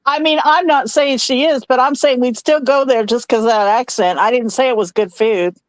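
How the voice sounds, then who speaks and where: A woman's voice: fairly high pitch, fairly even intonation, moderate pace, no echo, rough recording. A woman from Idaho chatting casually, teasing and joking with the others. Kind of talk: casual chat.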